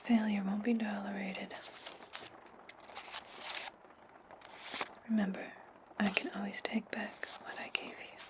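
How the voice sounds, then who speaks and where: A woman voicing a cold, commanding witch in an ASMR roleplay, speaking slowly in a breathy, threatening whisper. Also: medium pitch, little pitch movement, background noise, lightly acted. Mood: menacing, commanding. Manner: slow, commanding, coldly threatening. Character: menacing, domineering witch commanding an indebted servant.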